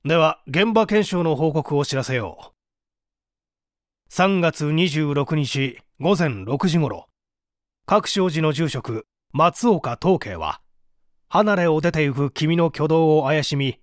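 A man voicing a stern, methodical prosecutor in a dramatic audiobook, formal and measured as he presses a suspect. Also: fairly high pitch, no echo, clean studio sound.

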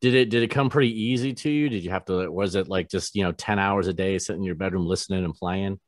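A man in his forties hosts a casual interview, stumbling through filler-heavy questions. His voice sits on the low side. The sound is dry and close, picked up by a studio mic at home. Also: fast talker, no room sound. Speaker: radio host. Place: home studio, posters on wall. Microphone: large studio microphone, webcam.

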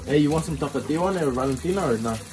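A man's voice: fairly high pitch, lively intonation, very fast. A young man cooking in a small home kitchen, joking in profane slang and slipping Spanish words into his English. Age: young adult. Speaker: home cook showing a recipe. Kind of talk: joking cooking show banter.

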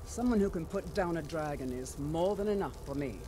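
Casting: skeptical veteran game warrior, slightly high voice from a male-sounding actor, stern, noisy ambience. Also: animated, fairly quick, close to an everyday voice. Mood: stern, dismissive. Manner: stern, dismissive. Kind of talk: scripted fantasy game dialogue.

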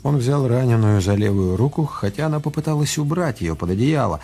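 An actor playing the narrator of a radio detective drama, with a measured, literary delivery. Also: male voice, mid-range voice, lively intonation, mellow, close to an everyday voice.

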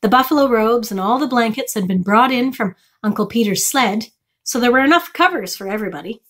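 Woman, middle-aged, slightly high voice, storytime read-aloud, children's chapter book. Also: lively intonation, fairly quick. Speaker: storytime reader. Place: small furnished room indoors.

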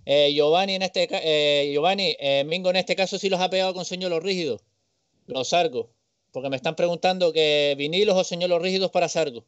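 Male co-host, slangy and relaxed chat; smooth voice, dry lo-fi line. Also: a little high, fairly quick, no echo. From Canary Islands. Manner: friendly, slangy, says "tío" and "hermano". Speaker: fishing livestream co-host. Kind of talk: casual chat on a video call. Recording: dry, dull call audio.

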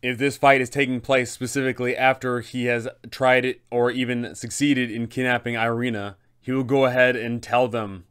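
A man in his thirties hosting a tabletop game guide, telling the story in an emphatic, deliberate way. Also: medium pitch, flat delivery, fairly bright tone, smooth. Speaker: host and game master giving advice.

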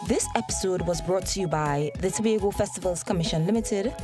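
A woman's voice: fairly low pitch, fairly quick. A woman reading a scripted radio sponsor announcement as a network announcer. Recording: loud music under the voice.

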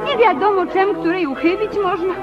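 An actress playing a flustered, good-hearted young niece in a theatrical radio play, plaintive and sighing. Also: female voice, extremely high pitch, normal speed. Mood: weary, flustered. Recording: muffled, loud music and crowd behind.

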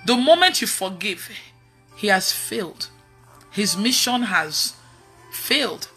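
A woman in her thirties with a Nigerian accent, preaching casually online in an animated, emphatic way and repeating phrases. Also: mid-range voice, slow pace, faint music behind. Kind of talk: casual testimony-style preaching on a livestream. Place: indoors in a room at home.

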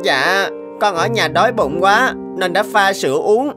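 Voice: medium pitch, animated, measured pace, over music, close to an everyday voice. A performer voicing a timid, lonely young son in a Vietnamese cartoon, hesitant and plaintive.

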